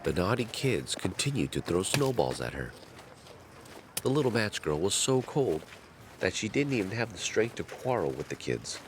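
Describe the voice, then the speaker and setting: A man narrating a fairy tale in a measured, dramatic storytelling manner. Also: sits low, big pitch swings, noisy background.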